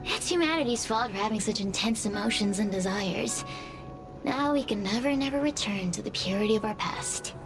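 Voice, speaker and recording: A woman's voice: mid-range voice, expressive, moderate pace, over music, natural voice, rough recording. A woman voicing a proud, mocking fire spirit in a video game, speaking in a theatrical storyteller's manner. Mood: wistful. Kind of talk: video game character acting.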